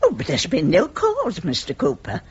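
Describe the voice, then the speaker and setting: A woman's voice: on the low side, huge pitch swings, normal speed, husky, exaggerated voice. An actress playing a genteel, spirited elderly mother with an upper-class English accent in a British radio drama. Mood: gracious, delighted. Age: 80s. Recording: dull, band-limited radio recording.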